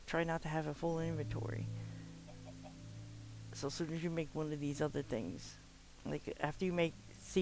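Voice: medium pitch, a little hiss or hum. A nasal, stuffed-up gamer chatting casually through a let's play, rambling with lots of fillers and the odd swear word. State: stuffed-up from swimming. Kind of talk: casual gameplay commentary.

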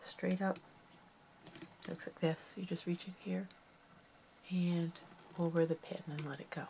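A middle-aged woman with a slightly low, gravelly voice and a sniffly, stuffed-up nose walks through a craft project at her table. She talks in a soft, rambling, homey way. A faint hiss sits behind her. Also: steady tone, unhurried. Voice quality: gravelly, creaky voice. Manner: talks softly while working with her hands, rambling and homey. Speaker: craft tutorial host. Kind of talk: casual craft tutorial.

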